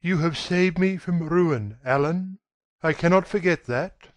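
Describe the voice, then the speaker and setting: A man voicing a handsome young gentleman in a dramatic audiobook, brisk and authoritative with a nervy edge. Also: fairly high pitch, dry recording, voice pushed up, natural voice. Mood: strained, earnest. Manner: brisk, authoritative, calm surface.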